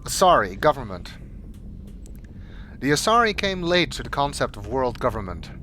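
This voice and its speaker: Guy narrating game lore entries, measured explainer read, medium pitch, music playing behind him. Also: big pitch swings, pushed and bright. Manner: measured, expository reading. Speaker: video maker narrating game codex entries. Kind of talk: scripted narration, read aloud. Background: clear background music.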